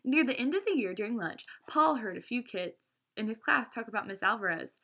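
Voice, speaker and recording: Animated, high-pitched woman reading a story. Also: brisk. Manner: animated, with stumbles and self-corrections. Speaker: read-aloud storyteller. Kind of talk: expressive storybook read-aloud for children.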